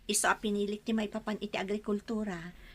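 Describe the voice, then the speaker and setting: A woman's voice: mid-range voice, level tone, quick pace, close to an everyday voice. A woman with an Ilocano accent playing a dutiful, hopeful young farm daughter in a radio drama. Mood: earnest, hopeful. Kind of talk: Filipino radio drama acting.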